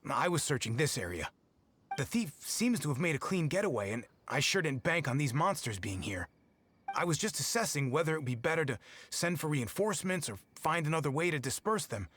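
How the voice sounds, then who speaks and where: Earnest festival guard, lively intonation. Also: male voice, fairly high pitch, fairly quick, a little hiss or hum. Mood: relieved, businesslike. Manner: earnest, explanatory. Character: earnest, dutiful male festival patrol guard. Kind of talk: video game character acting.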